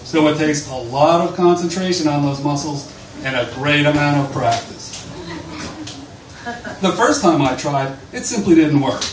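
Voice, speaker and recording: A man's voice: fairly high pitch, animated, measured pace. A man reading aloud from a book at a live literary event in a bar. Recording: distant, echoey, noisy live bar recording.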